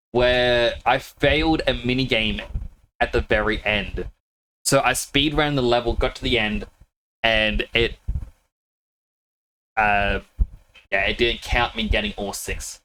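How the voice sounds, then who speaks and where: A man's voice: mid-range voice, fairly bright tone, a little hiss or hum, poor audio. A man streaming a video game, chatting casually with viewers, laughing often and a little sniffly with a cold. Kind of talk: casual live-stream chat.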